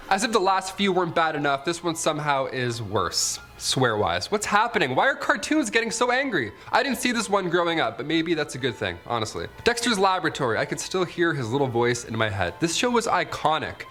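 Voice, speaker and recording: A lively man with a fairly high voice presents a countdown list over background music. He reads from a script and slips into sarcastic, joking asides, with his pitch moving around a lot. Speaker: host of a top ten list show. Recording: music bed behind the voice.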